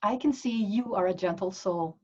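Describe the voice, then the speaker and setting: A woman playing a gentle, wistful nun with a cheeky streak in a comedy play table read, hesitant and teasing. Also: medium pitch, moderate pace, slightly rough, dull audio, natural voice.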